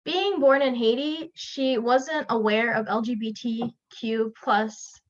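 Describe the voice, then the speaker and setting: A young woman from South Florida gives a personal narrative speech over a video call, earnest, pausing and restarting now and then. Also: on the high side, fairly even intonation. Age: young adult. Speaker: student speaker. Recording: lo-fi online call audio.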